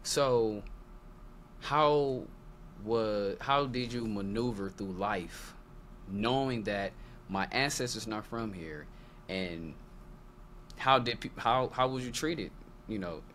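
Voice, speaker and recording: A man hosting a casual interview, putting questions in informal, halting phrases. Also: lowish voice, fairly bright tone. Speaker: podcast host. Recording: noticeable background noise.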